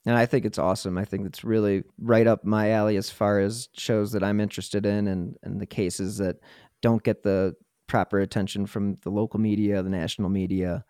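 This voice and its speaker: A man's voice: fairly low pitch, little pitch movement, normal speed, dark tone, smooth, dry recording, studio quality. A man hosting a podcast, talking in a conversational interviewing style. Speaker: podcast host. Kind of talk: conversational interview and show sign-off.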